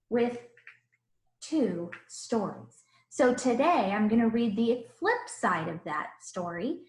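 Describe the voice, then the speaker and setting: A woman kindergarten teacher reading a picture book aloud, expressively, with simple explanations for young children. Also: fairly high pitch. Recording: roomy, echoing room sound.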